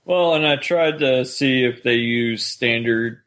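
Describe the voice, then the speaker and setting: Male podcast co-host, mid-pitched voice, casual fan-discussion delivery. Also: a little slow, a bit bright. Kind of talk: casual discussion of an audio drama.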